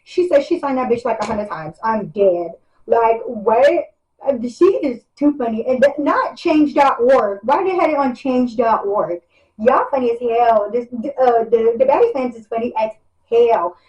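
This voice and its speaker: A woman's voice: fairly high pitch, expressive, measured pace, darkish tone, muffled recording. A woman in a casual rant, blunt and profane, repeating her phrases for emphasis. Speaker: reality show cast member. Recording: roomy echo, distant from mic.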